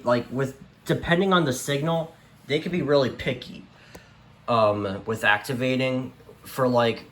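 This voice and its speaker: A man's voice: mid-range voice, fairly bright tone. A man giving a casual, unscripted show-and-tell as a collector, rambling hesitantly and often trailing off. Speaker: radio collector presenting their collection. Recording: roomy echo, light background noise.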